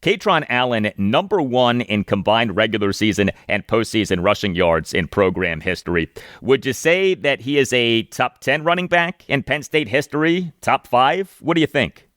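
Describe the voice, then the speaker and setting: A man's voice: mid-range voice, lively intonation, moderate pace, fairly bright tone, dry recording, clean studio sound. A man with an American accent hosting a sports podcast, moving between interview questions and scripted reads. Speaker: podcast host. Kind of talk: sports interview and sponsor read.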